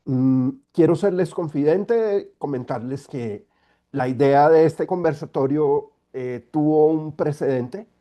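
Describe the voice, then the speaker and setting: A middle-aged man, an environmental lawyer giving an online talk while congested with a cold, speaking in a measured, explanatory way over a video call. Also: a little high, dark tone.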